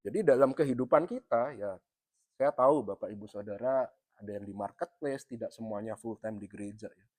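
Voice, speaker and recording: A man's voice: lowish voice, brisk, dark tone, dry recording. A middle-aged man from East Java with a Javanese accent, preaching a sermon in an easy, chatty way, ending phrase after phrase with a little tag and speaking straight to the congregation. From East Java, Indonesia. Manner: conversational, tags nearly every phrase with "ya"; addresses the congregation often. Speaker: pastor.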